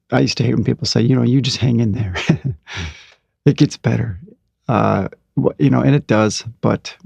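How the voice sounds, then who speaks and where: A middle-aged man hosting a podcast, talking in a calm, unhurried way and reading aloud from his own blog post. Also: on the low side, creaky, husky, dry recording. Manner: calm, slow and unhurried, with fillers. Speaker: podcast host.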